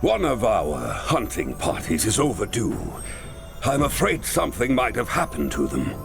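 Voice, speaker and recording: A man's voice: lowish voice, huge pitch swings, moderate pace, gravelly, over music, exaggerated voice. A man voicing a weary, worried male leader of a forest folk in a video game, earnest and grave. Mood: pleading. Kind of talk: video game cutscene voice acting.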